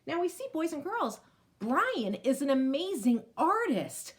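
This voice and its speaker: A woman's voice: high voice, very animated, measured pace. A woman reading a picture book aloud to children, explaining it and addressing young listeners directly as a teacher would. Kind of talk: expressive storybook read-aloud with asides to children.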